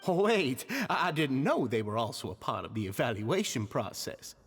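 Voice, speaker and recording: An actor playing an earnest, polite and eager society leader in a video game, courteous and formal. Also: male voice, mid-range voice, big pitch swings, moderate pace, a little hiss or hum. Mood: flustered, earnest. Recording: background music behind the voice.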